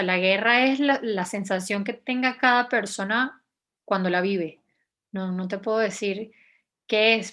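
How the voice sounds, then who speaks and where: Young woman from Venezuela, journalist guest, mid-pitch voice, hesitant and thoughtful, restarts often. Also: fairly bright tone. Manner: hesitant, many restarts and fillers, reflective. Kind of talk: interview answers.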